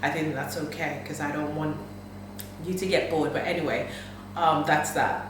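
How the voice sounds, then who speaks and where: A woman's voice: sits low, fairly even intonation, normal speed, background noise. Candid woman vlogging in an echoey room. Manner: candid, emphatic, repeats phrases. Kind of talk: personal reflective vlog. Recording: echoey room, mic at a distance.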